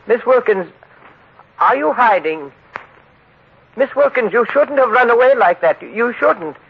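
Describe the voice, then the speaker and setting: A man's voice: very high voice, animated, a little slow, gravelly, breathy edge, full character voice. An actor playing an anxious, pleading man in a 1940s radio play, stammering and coaxing as he gets rattled. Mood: coaxing, reassuring. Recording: noisy, muffled old radio recording.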